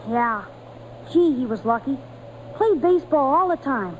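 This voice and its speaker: A woman's voice: sits high, animated, unhurried, a little mellow. A woman playing an eager, wide-eyed thirteen-year-old boy in old radio drama, with slangy boyish speech. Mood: curious, awed. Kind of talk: 1940s radio acting. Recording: old noisy radio broadcast recording.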